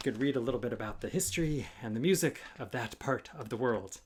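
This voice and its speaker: A man who is a children's author reading a rhyming story aloud with an expressive, chanting, sing-song delivery. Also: mid-range voice, fast, breathy edge. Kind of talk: expressive musical storytime narration in rhyme.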